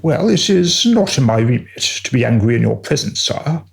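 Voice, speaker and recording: An actor playing a deferential, long-suffering royal adviser in a comic radio play, formal and hesitant with dry disapproval. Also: male voice, mid-range voice, big pitch swings, normal speed, vocal fry. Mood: tactful, exasperated.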